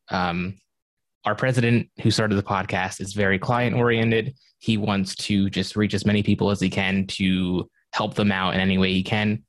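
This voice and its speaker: A man on a podcast panel, explaining in a relaxed, practical way how he prepares guests. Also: sits low, no room sound. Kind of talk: casual panel chat.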